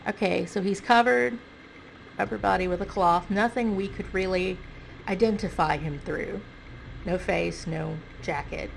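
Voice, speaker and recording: A woman's voice: on the low side, unhurried, vocal fry, slight background noise, rough recording. A woman with a stuffy nose giving casual, self-correcting commentary on a video game, thinking aloud as she goes.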